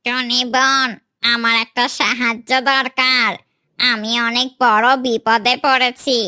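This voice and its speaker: A troubled father bird from a children's cartoon, voiced by a woman. The voice is bright and tense, sitting a little high and staying fairly even. The manner is anxious and pleading. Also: slow pace, clean tone. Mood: worried, pleading.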